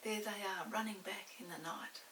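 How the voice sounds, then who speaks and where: A woman's voice: medium pitch, fairly even intonation, normal speed, bright, forceful tone. An older woman narrating a children's story expressively, with dramatic touches. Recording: distant mic with hiss.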